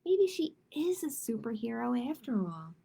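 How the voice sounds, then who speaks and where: A woman's voice: on the high side, sing-song, normal speed, creaky, dark tone, slightly rough. A woman hosting a children's storytime, reading picture books aloud expressively and adding simple chatty asides with little check-in questions. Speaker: library storytime host.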